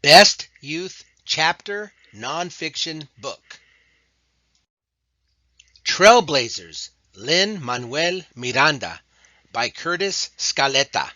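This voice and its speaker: Man, awards announcer, slow measured reading, bright tone, dull lo-fi dry recording. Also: on the high side, big pitch swings, no room sound. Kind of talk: reading award categories and titles.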